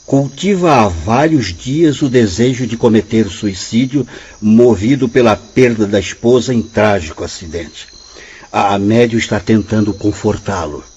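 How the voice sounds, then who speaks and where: A man acting in a radio drama as a kind, wise, fatherly spirit guide, counselling in a measured way. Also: on the low side, darkish tone, slightly rough. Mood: explaining. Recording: dull, noisy radio drama audio.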